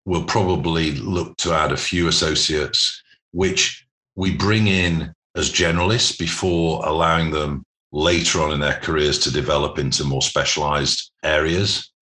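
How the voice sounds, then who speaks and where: A man speaking as an interview guest, explaining business matters in measured, corporate phrasing. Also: a bass voice, steady tone, sharp tone. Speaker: podcast guest, private equity investor.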